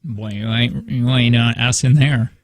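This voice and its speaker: A man's voice: medium pitch, fairly even intonation, unhurried, dry recording. A man in his thirties hosting a morning radio show, chatting casually and laughing often. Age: 30s. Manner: chatty, reads listener texts aloud, laughs often. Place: radio studio.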